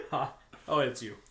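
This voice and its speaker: A man's voice: on the high side, a bit bright. A man reading a story aloud in a comedic, casual way, breaking off for joking, mocking asides. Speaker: co-host reading fan fiction aloud. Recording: echoey room, faint background noise.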